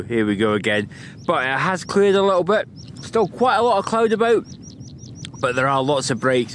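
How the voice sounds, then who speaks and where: A man's voice: mid-range voice, a little hiss or hum. Chatty man vlogging on a hilltop. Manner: chatty, upbeat, self-mocking, laughs often. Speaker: vlog host, landscape photographer. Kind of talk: casual outdoor vlog to camera. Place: open windy hilltop outdoors. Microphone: camera held at arm's length.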